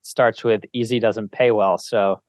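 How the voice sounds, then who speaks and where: A man's voice: fairly low pitch, fairly even intonation, a little slow, no room sound. A man hosting an interview podcast in a casual, conversational style. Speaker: podcast host.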